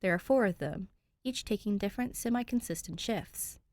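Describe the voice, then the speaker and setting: A woman's voice: medium pitch, normal speed, no echo. A woman reading a fan fiction story aloud, narrating expressively. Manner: expressive, voices characters. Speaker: fanfic podfic reader. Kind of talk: audiobook narration.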